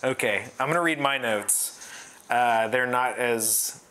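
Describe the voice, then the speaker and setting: A woman's voice: rumbling low, measured pace, a bit bright, rough recording. A woman co-hosting a show, chatting casually in a playful, jokey way. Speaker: co-host of a movie-themed homebrewing show. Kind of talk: casual conversational chat.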